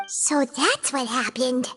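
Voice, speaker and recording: A woman voicing a tiny, childlike, curious fairy companion in a video game, squeaky and eager. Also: expressive, takes it slowly, pushed and bright, soft background music, full character voice. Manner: speaks of self in third person, eager. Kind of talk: video game character acting.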